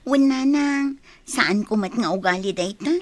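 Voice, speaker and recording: A woman's voice: fairly high pitch, unhurried, dry recording, natural voice. A woman with an Ilocano accent playing a cheerful, obedient young village daughter in a radio drama. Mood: obedient, eager.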